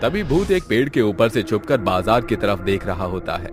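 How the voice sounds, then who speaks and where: A man narrating a cartoon story in a steady storytelling manner. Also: lowish voice, moderate pace, faint music behind.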